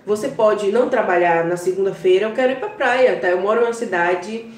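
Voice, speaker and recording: A woman with a Bahian Portuguese accent giving casual advice to her viewers, often checking in with a quick "tá?". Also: mid-range voice, echoey room, far from the mic. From Brazil. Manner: chatty, keeps adding "tá?" to check in with viewers. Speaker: online business vlogger.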